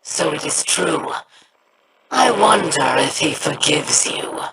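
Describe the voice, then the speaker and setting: A woman's voice: deep voice, expressive, slow pace, a loud crowd, rough recording. An actress voicing a contemptuous alien pirate queen in a video game, with a cold, scornful manner.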